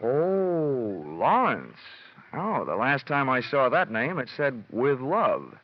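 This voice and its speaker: An actor playing a cocky, wisecracking insurance investigator in an old radio detective drama, with a sardonic, slangy delivery. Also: male voice, medium pitch, sing-song, slow pace. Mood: sardonic, guarded. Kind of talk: 1940s-50s radio detective drama acting. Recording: dull vintage radio recording, music behind.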